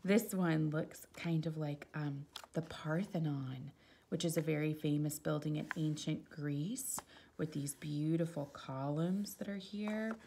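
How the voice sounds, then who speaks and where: A woman reads a picture book aloud for children in a low voice with noticeable creak. Her delivery is expressive, and she shifts between storybook narration and casual remarks on the pictures. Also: measured pace, a little hiss or hum. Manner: expressive, exclaiming softly at the pictures.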